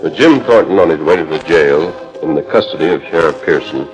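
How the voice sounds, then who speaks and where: A man's voice: extremely low pitch, expressive, fairly quick, poor audio. A man narrating a 1940s radio western as announcer, in a dramatic, formal storytelling manner. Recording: 1941 radio transcription disc with music.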